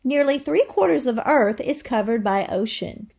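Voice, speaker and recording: A woman's voice: a little high, lively intonation, normal speed, gravelly, darkish tone, breathy edge. A woman reading educational material aloud as a teacher, in an instructive manner that addresses the listener directly. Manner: instructive, asks the listener questions. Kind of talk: educational read-aloud with explanatory asides.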